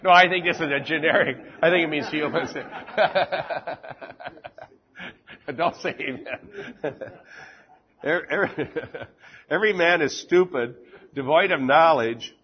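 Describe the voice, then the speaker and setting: A man teaching an informal Bible class, chatting with the group and reading scripture slowly and deliberately. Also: a little high, dull audio. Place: classroom with fans running.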